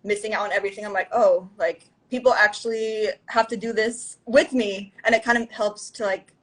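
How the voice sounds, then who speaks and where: A woman's voice: medium pitch, steady tone, a little fast, fairly bright tone, poor audio. A young adult woman talking as a guest in a casual interview, rambling with lots of "like" and "you know". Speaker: guest musician.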